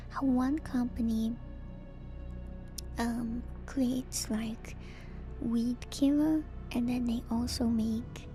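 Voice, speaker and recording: A woman doing ASMR in a breathy whisper, reading lore aloud with hushed, enthusiastic casual commentary. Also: on the high side. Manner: hushed, slow, enthusiastic asides. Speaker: streamer and lore reader. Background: music.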